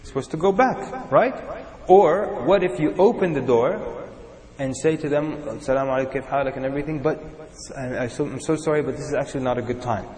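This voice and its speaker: A man's voice: mid-range voice, brisk. A man from Sudan, preaching a sermon to a live audience and acting out little examples as he goes. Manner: animated, acts out examples in little voices. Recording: echoey hall with long reverb.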